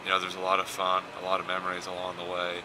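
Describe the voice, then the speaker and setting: A man's voice: deep voice, monotone, racing. A young American man from Indiana in his twenties, answering interview questions casually as a college athlete, often repeating words. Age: 20s. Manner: repeats words, frequent "you know". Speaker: college basketball player. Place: basketball fieldhouse. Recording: clear ambient noise of a gym.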